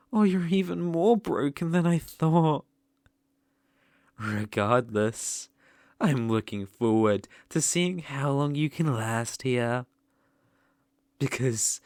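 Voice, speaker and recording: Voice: mid-range voice, a little mellow, no room sound, clean studio sound. A British-accented performer voicing a sadistic, possessive demon ruler in a scripted ASMR roleplay, with a mocking, haughty, theatrical manner.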